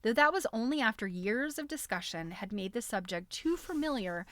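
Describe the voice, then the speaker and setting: A woman hosting a live stream, reading a book aloud in an expressive, amused way with chatty, casual asides. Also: fairly high pitch, normal speed, dry recording, clean studio sound.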